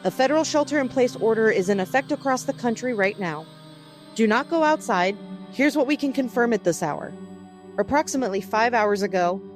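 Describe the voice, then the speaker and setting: Woman acting as news anchor, careful, grave, higher-pitched female voice, audio drama broadcast, music underneath. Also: natural voice.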